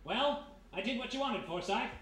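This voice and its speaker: Picture a brash private eye from a vintage radio mystery played by a man, slangy and sure of himself as he lays out the case. His voice sits high for a man, and the live stage recording is roomy with a faint noise underneath. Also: lively intonation, moderate pace, a bit bright. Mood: confident, sardonic. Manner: wisecracking, slangy, explaining confidently. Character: brash American private detective, reformed thief, laying out a case. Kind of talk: 1930s-style detective radio play acting before a live audience. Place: live performance in a historic house.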